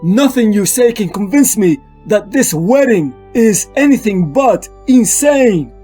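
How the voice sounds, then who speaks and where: A man's voice: sits high, expressive, a little slow, dark tone, breathy edge, full character voice. A man voicing Batman as a grave, driven detective hero in a solemn, melodramatic comic-book dub. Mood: urgent, stern. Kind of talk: dramatic comic-book dub with inner monologue. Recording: music bed under the voice.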